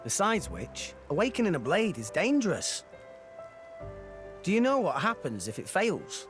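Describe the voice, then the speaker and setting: A plucky, fair-minded teenage boy hero from a video game. His voice is high and lively, rising and falling freely, with faint music underneath. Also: a little slow, full character voice. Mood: earnest. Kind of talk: video game cutscene acting.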